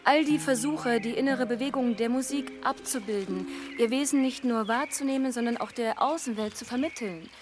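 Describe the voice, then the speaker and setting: A woman's voice: a little high, moderate pace, hardly any pauses, natural voice. An actress playing an earnest, headstrong young woman searching for her path in a radio drama, speaking in hesitant restarts. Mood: searching, frustrated. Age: young adult. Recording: music behind the voice. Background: music.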